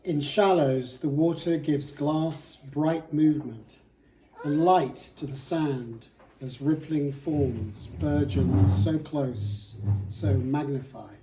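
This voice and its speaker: A woman's voice: low-pitched, takes it slowly, mellow. A woman reading a poem aloud to others. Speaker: family member reading a poem. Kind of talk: poetry reading. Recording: roomy echo, faint noise.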